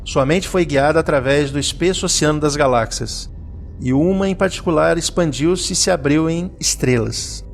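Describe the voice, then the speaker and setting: A man's voice: a little high, normal speed, vocal fry, a little hiss or hum. A man narrating a scripted audio drama in a solemn, literary reading style, with music behind him.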